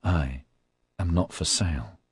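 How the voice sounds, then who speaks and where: Man voicing a tough, curt woman, low and menacing. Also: moderate pace, darkish tone, breathy edge, dry recording, studio quality. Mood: growling. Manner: curt, controlled.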